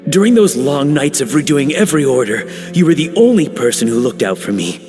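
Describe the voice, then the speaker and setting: Brief: nervous, well-meaning dad chef, slightly high voice. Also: male voice, sing-song, brisk, darkish tone. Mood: anxious. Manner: earnest, shaken, hesitant. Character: middle-aged anxious male chef, a father. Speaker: video game character. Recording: reverberant game audio with music.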